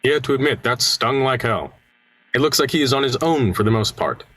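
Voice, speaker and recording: A man's voice: mid-range voice, expressive, a little fast, fairly bright tone. A man acting as the storyteller, reading scripted narration for an amateur radio play. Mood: somber. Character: dramatic storyteller narrating a small-town murder mystery.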